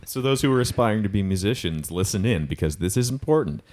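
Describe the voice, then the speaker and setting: A man's voice: lowish voice, expressive, fast, a little mellow, slight background noise. A man co-hosting a casual podcast, asking questions with a jokey, playful manner. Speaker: co-host.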